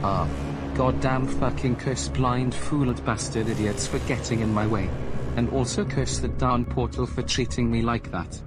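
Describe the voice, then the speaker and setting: A man's voice: medium pitch, steady tone, normal speed, lightly acted. A man voicing an arrogant, foul-mouthed evil villain who taunts and curses, in an amateur voice drama, with music behind. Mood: rude. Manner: rude, cursing, taunting.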